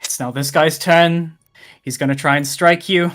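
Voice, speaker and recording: A man's voice: a little high, fairly even intonation, normal speed, a bit bright. A man running a tabletop game casually as game master. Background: music.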